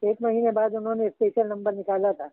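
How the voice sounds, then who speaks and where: An older man teaching Urdu literature, slowly and patiently dictating references to a student. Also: sits high, level tone, no room sound. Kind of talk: guiding a student over the phone. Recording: tinny phone line.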